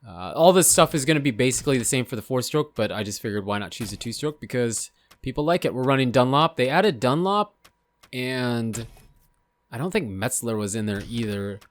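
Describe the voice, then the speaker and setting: A man casually talking over game footage, rambling and unsure of himself, a little hoarse from losing his voice. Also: medium pitch, animated, fairly quick. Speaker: gaming channel host. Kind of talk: casual gameplay commentary.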